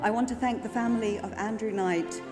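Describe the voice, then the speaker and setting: A woman's voice: a little high, fairly quick. A woman giving sincere award thanks. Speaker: award winner. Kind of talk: acceptance speech. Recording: reverberant hall with music.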